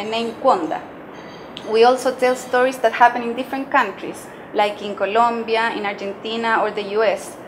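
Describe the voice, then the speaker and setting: A woman's voice: a little high, background noise. A woman in her thirties, a native Spanish speaker with a Chilean accent, explaining her work in an interview. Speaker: journalist and podcast creator. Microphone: lapel mic. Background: music.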